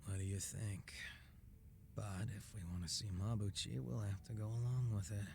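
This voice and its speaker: A man's voice: on the low side, steady tone, moderate pace, creaky, dark tone, slight background noise. An actor playing a wry, laid-back but dangerous male gang leader in a video game dub, with a casual, teasing drawl. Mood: calm, casual.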